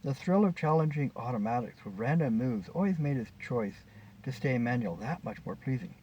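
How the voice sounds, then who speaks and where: Male author-narrator for short fiction. Animated intonation, slightly distant, dull recording with faint noise. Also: medium pitch, normal speed, breathy edge. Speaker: author reading own short story. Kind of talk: scripted story narration.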